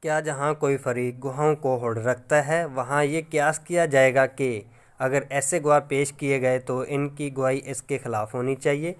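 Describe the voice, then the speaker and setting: A man with a Pakistani accent reads a scripted legal lecture as a teacher, in a formal, measured manner, mixing in English legal terms. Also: medium pitch, fairly even intonation, smooth. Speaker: law lecturer.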